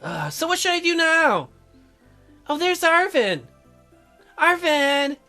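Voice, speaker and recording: Man putting on a bright, high, excitable teenage girl. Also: takes it slowly, faint music behind, heavily acted. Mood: eager, curious. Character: teenage girl heroine, earnest, curious, excitable. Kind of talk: dating sim dialogue read aloud.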